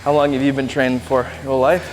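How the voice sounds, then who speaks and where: A man's voice: medium pitch, flat delivery, very fast, a little mellow. A young adult man talks in an easygoing, agreeable way, dropping casual slang as he trains. His voice rings with a long echo in a large gym, and music plays faintly behind him. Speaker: workout partner.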